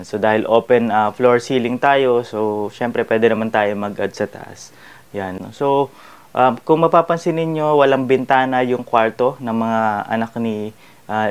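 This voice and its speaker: Smooth-voiced young Filipino man hosting. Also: mid-range voice. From Philippines. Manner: conversational, tags points with "no?" and "di ba?". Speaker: host reacting to renovation videos. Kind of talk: casual explanatory commentary. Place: small indoor studio with bookshelves.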